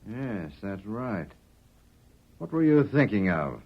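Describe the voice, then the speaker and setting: An actor playing a greedy, domineering swindler in a 1940s radio western, calculating and bossy. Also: male voice, on the low side, very animated, slow, heavily acted. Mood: cunning. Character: scheming, greedy, bossy Eastern swindler villain. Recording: dull, muffled old radio recording.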